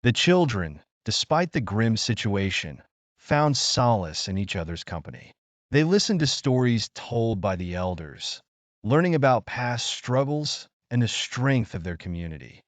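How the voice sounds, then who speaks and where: Man, children's story narration, lively melodic delivery, dry close sound, earnest. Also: mid-range voice, unhurried, dry recording.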